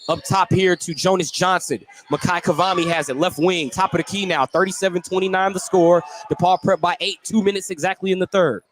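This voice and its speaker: A man's voice: high voice, fairly even intonation, a little fast, hardly any pauses. A man calling live basketball play-by-play for radio, describing each move in detail. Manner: detailed, descriptive calling, shouting on big plays. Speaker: play-by-play radio sports commentator. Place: indoor basketball gym, courtside broadcast. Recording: loud gym noise behind commentary. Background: loud ambient game noise.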